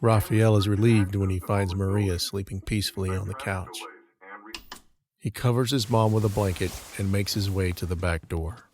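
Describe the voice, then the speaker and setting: A man's voice: lowish voice, background noise. A man reading scripted dramatic narration for an audio drama. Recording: dramatic music under the voice. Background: music.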